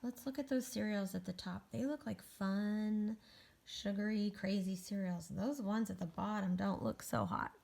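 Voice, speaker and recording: A woman teacher talks through a picture book with her class. Her pitch is average, with a lot of creak. She speaks in conversational, often hushed asides and puts questions to the children. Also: normal speed, slight background noise. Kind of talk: read-aloud commentary with questions to children.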